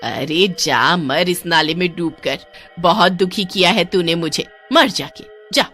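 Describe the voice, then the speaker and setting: A harsh old neighbor woman, voiced by a woman, spits threats in a loud, scolding voice, pitched a little lower than her usual. This is a cartoon story, with faint music underneath. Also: expressive, normal speed. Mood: vicious. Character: spiteful older neighbor woman, quarrelsome.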